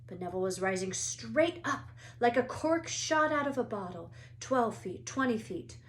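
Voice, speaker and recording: A woman's voice: on the high side, lively intonation, normal speed, slight background noise. A woman reading a children's story aloud as narrator, expressively, like a teacher to pupils.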